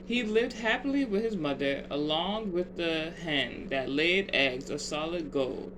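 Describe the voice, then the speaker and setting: Slow, deliberate bedtime storyteller over background noise. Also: medium pitch. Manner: deliberate, measured phrasing. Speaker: storyteller reading aloud. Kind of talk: scripted children's bedtime story narration. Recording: clear steady background noise.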